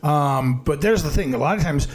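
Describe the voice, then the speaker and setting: A man's voice: fairly high pitch, expressive, normal speed, background noise. A man co-hosting a casual talk show who chats in an easygoing way, with plenty of fillers. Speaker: radio co-host.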